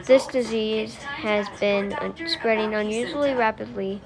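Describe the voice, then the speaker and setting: A woman reading a scripted police emergency announcement in an amateur, halting way, repeating and restarting phrases. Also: medium pitch, steady tone, over music. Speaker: police spokesperson in a mock emergency broadcast. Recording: clear crowd noise behind voice.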